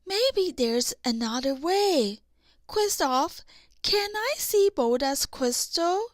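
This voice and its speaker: An eager, caring young storybook princess, played by a woman reader. Her voice is very high, and she speaks slowly and clearly for small listeners. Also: animated, no room sound, studio quality. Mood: hopeful.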